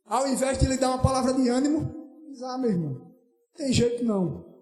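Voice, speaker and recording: High-pitched Brazilian man preaching, echoey hall. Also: fairly quick, darkish tone. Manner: emphatic, repeats phrases, exclaims praise. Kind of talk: impassioned Pentecostal evangelistic sermon. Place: church hall during evening service. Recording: echoey church hall PA, faint music.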